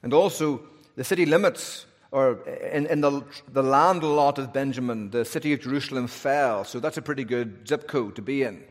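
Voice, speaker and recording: A man with a Northern Irish accent, preaching a sermon in a relaxed, conversational way with humorous asides. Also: mid-range voice, moderate pace. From Northern Ireland. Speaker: pastor. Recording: long hall reverb.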